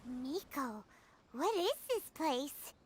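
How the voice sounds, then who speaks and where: Very high, squeaky little fairy companion. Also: female voice, sing-song, slow, slight background noise, heavily acted. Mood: uneasy. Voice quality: squeaky, childlike. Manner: refers to self in third person, whiny. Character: tiny childlike female fairy companion, squeaky, timid, earnest. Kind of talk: animated video game voice acting.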